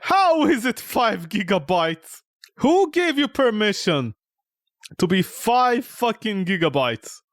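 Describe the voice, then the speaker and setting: Male game streamer, fairly high voice, dry close sound, unhurried; sarcastic, exasperated, sweary off-the-cuff commentary. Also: sing-song, fairly bright tone, dry recording. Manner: mocking, exasperated, swears freely. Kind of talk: casual, profane gameplay commentary.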